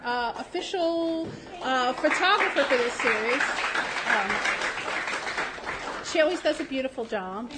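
A woman's voice: high-pitched, background noise. A woman hosting a reading series, introducing the next reader in a chatty way with restarts and asides. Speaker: event host.

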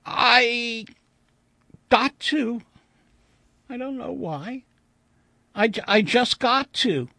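A man voicing the timid, plaintive ghost of a little girl in a storybook reading, childlike and halting in speech. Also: very high voice, expressive, gravelly, bright, forceful tone, no echo, pitched above the performer's own voice, full character voice. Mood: plaintive, wistful. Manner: childlike, halting, stammering when upset.

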